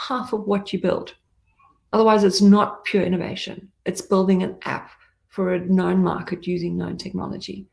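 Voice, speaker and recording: A middle-aged woman from Zambia gives a conference talk as a tech CEO, candid and emphatic, dropping in plenty of ums and asides. Her voice carries a gravelly, creaky edge. The sound is roomy and echoey. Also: medium pitch, a little mellow.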